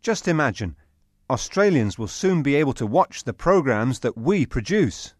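A man's voice: medium pitch, sing-song, moderate pace, no room sound. Man playing a businesslike TV technical director, dry sound. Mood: excited. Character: eager male TV technical director, knowledgeable, instructing.

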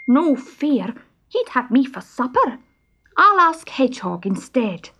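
A woman's voice: sits high, sing-song, slow pace, fairly bright tone, husky, voice pushed up, full character voice. A woman voicing a small, anxious male stoat in a children's story, plaintive and pleading. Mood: worried. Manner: plaintive, exclaiming.

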